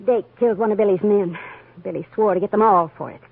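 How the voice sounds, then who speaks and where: An actress playing a weary, anxious frontier woman with plain folksy speech and a husky voice in a 1950s radio western. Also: medium pitch, fast talker. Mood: confiding, tense. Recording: narrow vintage 1950s radio recording.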